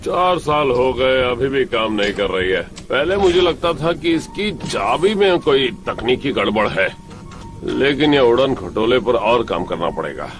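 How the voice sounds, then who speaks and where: A man's voice: mid-range voice, very animated, measured pace, faint music behind. A man voicing a kindly, absent-minded middle-aged inventor in a cartoon, muttering as he muses to himself. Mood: puzzled, musing. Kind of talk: Hindi-dubbed cartoon voice acting.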